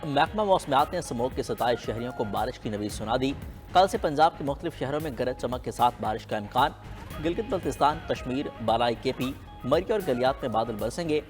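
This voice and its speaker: A man's voice: medium pitch, lively intonation, brisk, a little mellow. A man with a Pakistani accent delivering scripted headlines as a news anchor. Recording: long reverb with music underneath. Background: music bed.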